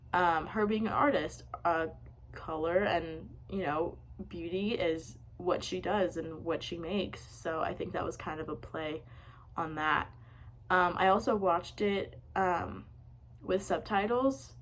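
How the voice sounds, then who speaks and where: A young woman giving a casual, unscripted film review, talking in a rushed way full of "like" and "um". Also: on the low side, steady tone, slight background noise. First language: English. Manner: rushed, lots of "like" and "um" fillers.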